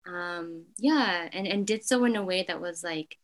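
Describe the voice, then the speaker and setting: Soft-spoken young woman, filler-heavy. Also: lowish voice, measured pace. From Canada. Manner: frequent "like" fillers, soft and hesitant. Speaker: interviewee. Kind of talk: casual reflective interview.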